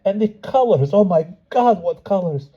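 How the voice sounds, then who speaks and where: A man voicing a simple village blacksmith, marveling aloud in high, wide-eyed exclamations. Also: animated, moderate pace, dark tone, slightly rough. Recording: dull lo-fi recording.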